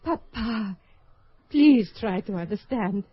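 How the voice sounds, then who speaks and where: An actress playing a young Soviet figure skater in love, in an old radio drama. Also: female voice, medium pitch, lively intonation, slow, husky, no room sound. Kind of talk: 1970s radio drama acting. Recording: dull old radio recording.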